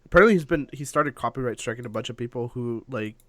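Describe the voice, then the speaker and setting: Halting young man in casual chat. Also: mid-range voice, a bit bright.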